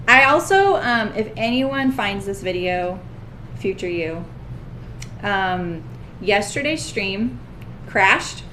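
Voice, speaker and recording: A woman's voice: fairly high pitch, a bit bright. A woman hosting a sewing livestream, chatting casually and greeting viewers by name, her voice a little scratchy. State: slightly scratchy voice.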